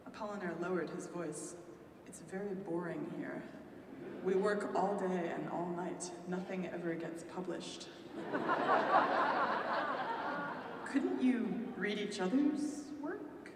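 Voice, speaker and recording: A woman's voice: mid-range voice, lively intonation, takes it slowly. A woman reading her own story at a live event, voicing the characters. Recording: echoey hall from far off, noisy.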